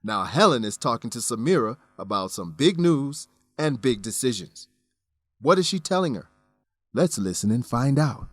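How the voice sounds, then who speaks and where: Male narrator, mid-pitched, lively intonation, scripted radio drama introduction, clear presenter delivery. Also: normal speed, a little hiss or hum.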